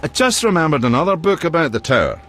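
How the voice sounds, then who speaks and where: A man's voice: a little high, sing-song, fast, fairly bright tone. An actor playing a chatty, helpful book trader in a video game, musing as he talks.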